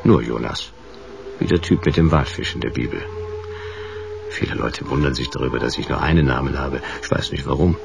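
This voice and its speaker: A world-weary private eye narrates his case in dry noir fashion. The voice is extremely low and gravelly, deadpan and wry, with music clearly playing underneath. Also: brisk, exaggerated voice. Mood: laconic, sardonic. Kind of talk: noir first-person radio play narration.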